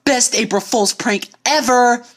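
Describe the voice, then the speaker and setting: Man as a brash young female pony, high-pitched, teasing, boisterous, cartoon storybook. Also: measured pace. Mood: gleeful. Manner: gleeful, boisterous.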